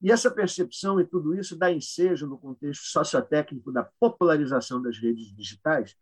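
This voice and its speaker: Brazilian man, husky, fairly high-pitched, erudite panel speaker, formal lecture delivery with small asides. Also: fairly quick. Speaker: academic speaker, debate panelist. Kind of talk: academic lecture, reading a prepared paper.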